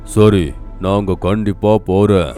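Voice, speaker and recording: Man voicing a deep, dark-toned lion king. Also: steady tone, slow pace. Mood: regal, curious. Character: proud, easily fooled lion king of the forest. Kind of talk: animated animal fable dialogue. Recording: music behind the voice.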